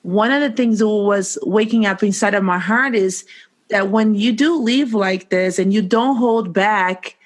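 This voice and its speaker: A woman's voice: medium pitch, a little slow. A woman hosting a podcast interview, asking questions with enthusiasm. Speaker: podcast host.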